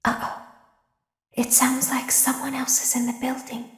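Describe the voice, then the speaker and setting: A woman voicing an eerie, sweet and melancholy animatronic clown girl in a video game, with a measured, childlike, softly menacing delivery. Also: high voice, monotone. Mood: hushed, menacing. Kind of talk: scripted game voice acting, slow monologue. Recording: echoey, long hall-like reverb.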